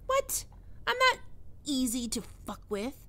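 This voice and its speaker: A woman's voice: high-pitched, wildly swooping pitch, measured pace, bright, forceful tone, heavily acted. A woman playing a skittish, defensive young catgirl roommate in an ASMR roleplay, stammering and prickly to hide her fear. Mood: prickly, flustered.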